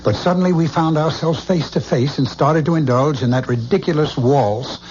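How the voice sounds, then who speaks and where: A man's voice: on the high side, darkish tone, lightly acted. A man playing a haunted, brooding man in an old radio drama. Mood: urgent. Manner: intense, brooding, halting when shaken. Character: troubled man haunted by visions of a killer. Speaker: lead character. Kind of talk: 1970s radio drama acting. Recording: dull lo-fi radio broadcast with faint hiss.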